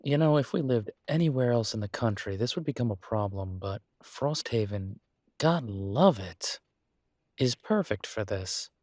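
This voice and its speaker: A man's voice: lowish voice, expressive, unhurried, darkish tone, dry recording, clean studio sound. A man playing an easygoing, supportive boyfriend in an intimate one-sided roleplay audio, talking casually and reassuringly with folksy turns of phrase. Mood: affectionate, casual.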